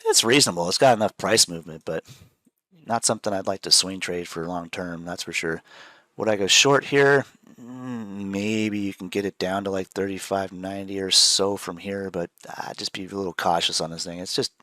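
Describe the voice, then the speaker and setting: A man with a deep, low-pitched voice talks through trading charts over a video call, loose and rambling, with plenty of "uh" and "um". He has a head cold and sniffles now and then. The recording is dry, with no room sound at all. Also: moderate pace, dry recording. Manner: relaxed, rambling, frequent fillers. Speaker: show host, trader. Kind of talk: casual chart analysis chat.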